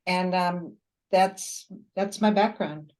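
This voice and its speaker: An older woman, a professor, telling her background on a video call in a measured, halting, conversational way. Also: on the low side, darkish tone. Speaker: university professor. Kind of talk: personal background told on a webinar.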